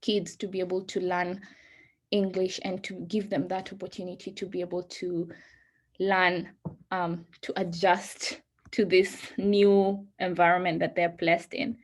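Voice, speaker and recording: A woman whose first language is Somali, a guest speaker answering audience questions over a video call, often pausing and restarting as she searches for words. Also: fairly low pitch, little pitch movement.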